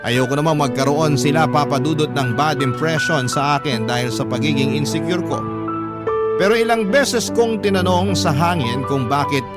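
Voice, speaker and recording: An actor playing an insecure, suspicious young Filipino lover, narrating a radio drama story in a confiding, brooding, emphatic way. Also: male voice, fairly high pitch, normal speed, lightly acted. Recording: loud music bed under narration. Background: loud instrumental music.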